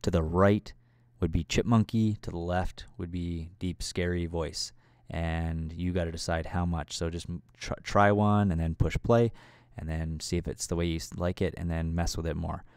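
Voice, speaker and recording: A man narrating a casual software tutorial in an informal, loosely worded, step-by-step way. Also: low voice, fairly even intonation, moderate pace, few pauses, clear, resonant tone, no room sound, clean studio sound. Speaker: tutorial presenter.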